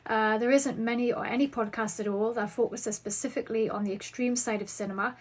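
A woman's voice: fairly high pitch, steady tone, brisk, slightly rough. A woman in her thirties with a Geordie accent from northeast England, hosting a solo podcast and chatting through listener questions. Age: 30s. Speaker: podcast host.

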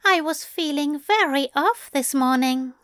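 Woman voicing a high, lilting, playful male angel. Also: measured pace, a bit bright, no room sound, clean studio sound. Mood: teasing, playful. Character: prim, playful male angel, teasing and affectionate.

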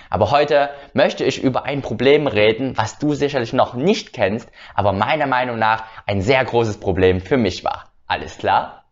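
A young man from Germany hosting his own channel, telling a personal story casually and hesitantly, with frequent filler sounds and restarts. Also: on the low side. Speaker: channel host. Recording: slightly dull lo-fi sound.

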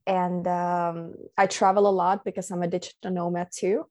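A woman's voice: on the low side, little pitch movement, normal speed, a little mellow. A woman from Finland, speaking as a podcast guest in a casual, reflective, earnest way. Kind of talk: casual conversation.

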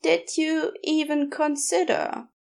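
Wry, needling nonbinary neighbour for story audio, female performer: dry delivery, measured pace, raised pitch. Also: little pitch movement, creaky, a little mellow, heavily acted. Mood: pointed. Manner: dry, teasing, pointed.

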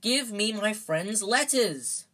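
A woman voicing an earnest, exasperated young boy in a storybook, speaking urgently and haltingly. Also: lowish voice, big pitch swings, a bit bright, husky, heavily acted. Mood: angry. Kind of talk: storybook character voice.

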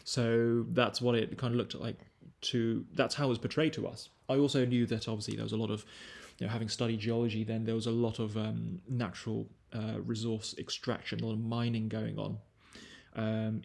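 A man in his twenties from the UK, hosting a casual podcast chat in a measured, unhurried way. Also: fairly low pitch, fairly even intonation, vocal fry, a little mellow. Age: 20s. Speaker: podcast host.

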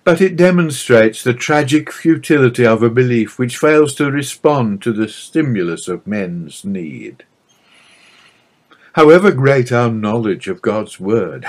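A man reading a scripted religious narration aloud in a solemn, measured manner. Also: medium pitch, darkish tone.

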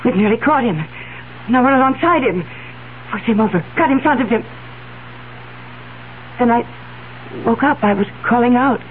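A woman's voice: a little high, moderate pace, pauses often, close to an everyday voice. Woman playing determined wealthy schemer, controlled insistent delivery, 1970s radio drama, narrow hissy recording. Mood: intense, haunted. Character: cool, determined wealthy fiancée scheming against a blackmailer.

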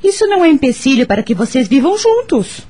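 A woman's voice: high-pitched, very animated, a little fast, dark tone. A woman acting in a radio soap opera as a supportive confidante, encouraging and emphatic.

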